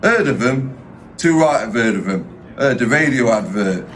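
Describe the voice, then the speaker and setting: An actor playing a blunt, cynical police detective in an audio drama, with a formal, sardonic way of talking. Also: male voice, mid-range voice, animated, normal speed, a bit bright, a little hiss or hum, lightly acted. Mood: cynical, scornful.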